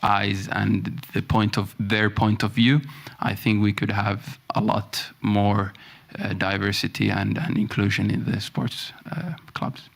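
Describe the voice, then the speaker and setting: Male panelist, a referee, level and low-pitched, earnest. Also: little pitch movement, measured pace, vocal fry. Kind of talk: panel discussion.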